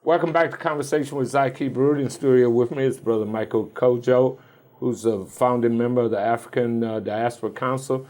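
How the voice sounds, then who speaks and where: American man hosting, medium-pitched voice. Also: fairly even intonation, fairly quick, darkish tone, a little hiss or hum. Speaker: talk show host. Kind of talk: conversational studio interview. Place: studio.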